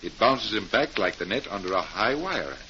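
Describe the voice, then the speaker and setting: Confident low-voiced magician detective. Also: male voice, very animated, normal speed. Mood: explaining, assured. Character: confident male magician detective, calm and assured. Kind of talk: 1940s radio acting. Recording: dull, noisy vintage radio recording.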